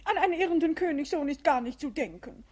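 Fretful motherly governess, very high voice, 1950s radio-drama style. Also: lively intonation, fast, a little mellow. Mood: weary, anxious. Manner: anxious, motherly stage delivery. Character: fussy, fretful female governess of a princess. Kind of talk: 1950s radio play acting.

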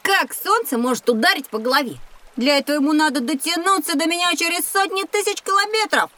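A woman's voice: extremely high pitch, expressive, fairly quick, sharp tone, heavily acted. A woman playing a curious, always hungry little boy in an educational audio drama, with naive, eager questioning. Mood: puzzled.

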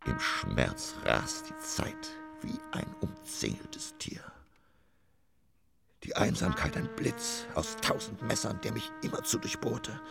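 A gravelly, weary old stage actor. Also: male voice, full character voice. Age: older. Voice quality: gravelly, breathy low voice. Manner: slow, theatrical, brooding delivery. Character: weary, grief-stricken old stage actor, theatrically bitter. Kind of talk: poetic radio drama monologue.

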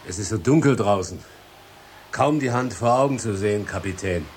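A man's voice: lowish voice, normal speed, a little hiss or hum, close to an everyday voice. An actor in a German radio drama playing a humble, earnest coachman who is devoted to his horses and speaks deferentially. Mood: worried.

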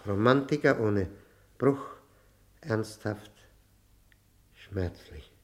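An actor in a German radio play playing a bitter, introspective convict looking back on his ruined youth in halting, self-ironic phrases. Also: on the low side. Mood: brooding, reflective. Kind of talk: German radio play acting, confessional monologue.

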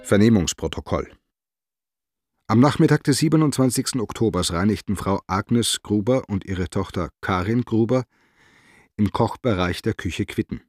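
An impassive police official in a radio play, reading a formal report. The voice is deep and husky, in a very dry studio sound. Delivery is detached and bureaucratic. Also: male voice, lively intonation, normal speed, darkish tone, dry recording. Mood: detached, factual. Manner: matter-of-fact, bureaucratic, detached.

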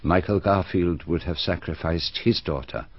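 A low-voiced methodical detective. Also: male voice, slightly rough, dry recording. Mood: grave. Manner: measured, deliberate, explanatory. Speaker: detective explaining the solution. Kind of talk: BBC radio drama acting. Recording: muffled dry archive radio recording.

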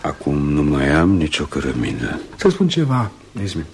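An actor in a radio play voicing a troubled, humbled doctor who speaks in a brooding, halting, earnest way. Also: male voice, a bass voice, wildly swooping pitch, vocal fry, darkish tone, slight background noise, exaggerated voice. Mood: earnest, shaken. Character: troubled, humbled male English doctor, once a cold rationalist. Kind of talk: Romanian radio theatre acting.

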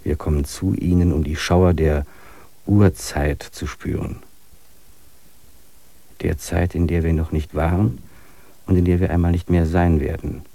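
A man with a low, dark, slightly husky voice, recorded dry and close. He talks in an earnest, musing way, drifting into philosophical reflection. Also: steady tone, a little slow, pauses often, no echo. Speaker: main character and reflective narrator. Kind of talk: classic radio play acting.

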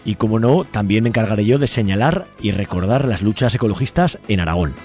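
A man's voice: on the low side, a little fast. Man from radio, Spanish accent, over music. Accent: Spain. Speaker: radio show host. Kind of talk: presenting a community radio show, partly scripted. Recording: music behind the voice.

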